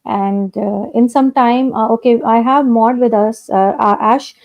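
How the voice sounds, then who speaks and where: A woman's voice: a little high, steady tone, unhurried, creaky, darkish tone, dull audio. A woman hosting a live stream, speaking politely and hesitantly with many fillers over a video call. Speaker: host.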